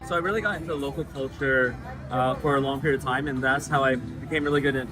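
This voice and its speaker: A man from the United States, a guest chatting casually in an interview, relaxed and full of fillers. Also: mid-range voice, fast, music in the background, rough recording. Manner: relaxed, frequent fillers and laughs.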